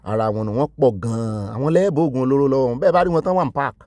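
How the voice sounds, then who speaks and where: A man delivering fast, animated news commentary from Nigeria, excitable, with sudden jumps in pitch. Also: dry recording.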